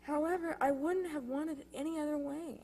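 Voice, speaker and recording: A young woman delivering a prepared personal testimonial in church, telling her story in measured, deliberate phrases. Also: high voice, steady tone, dark tone, poor audio. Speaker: youth speaker at a church service. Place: church, speaking to a congregation. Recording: live speech with faint noise and hum.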